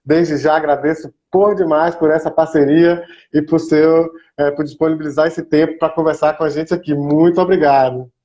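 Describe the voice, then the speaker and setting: A man's voice: on the high side, fairly even intonation, moderate pace, hardly any pauses, dark tone. A man with a Brazilian Portuguese accent hosting a live stream in a casual, chatty, welcoming way. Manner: informal and welcoming, greets viewers by name. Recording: dull lo-fi online stream audio.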